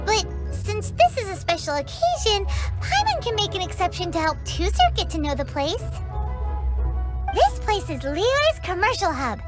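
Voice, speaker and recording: A woman voicing a small, chatty female fairy companion in a game cutscene, bouncy and playful, over loud game music. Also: sing-song, moderate pace. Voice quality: high, bouncy, refers to self in third person. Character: tiny chirpy female floating fairy companion. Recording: game score loud behind voice.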